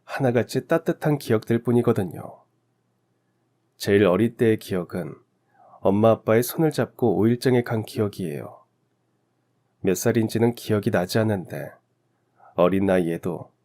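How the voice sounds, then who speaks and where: A man's voice: on the low side, animated, moderate pace, warm tone. A man narrating a scripted first-person life story as a radio story narrator.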